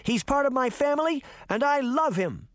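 A brave little girl, acted by a male narrator, speaks high and insistently. She is earnest, stubborn and demanding in this storybook telling, recorded dry. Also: animated, moderate pace, fairly bright tone, dry recording.

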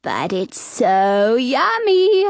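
A woman voicing a sly old lady apple seller in a storybook, drawn-out and sing-song in manner. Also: sits high, big pitch swings, a bit bright, no echo, voice pushed up, full character voice. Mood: teasing, coaxing.

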